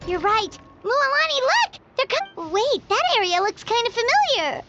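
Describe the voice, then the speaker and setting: A woman voicing a small, eager, excitable childlike fairy companion in an anime video game. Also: very high-pitched, moderate pace, muffled recording, heavy background noise. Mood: excited, alarmed.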